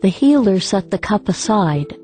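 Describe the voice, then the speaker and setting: A woman reading scripted story narration for an audiobook in a measured way. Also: medium pitch, very animated, dark tone, soft background music.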